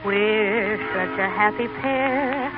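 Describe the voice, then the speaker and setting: Lady singer, 1940s swing, unhurried, tinny old radio sound. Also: medium pitch, music in the background. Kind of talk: 1940s swing song vocal.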